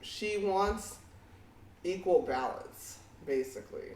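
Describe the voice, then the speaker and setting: Outspoken woman podcast co-host, deepish voice, echoey room with a distant mic. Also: lively intonation, takes it slowly, a little mellow, slight background noise. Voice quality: fairly deep voice for a woman. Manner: blunt, outspoken, swears freely. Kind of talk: casual podcast chat.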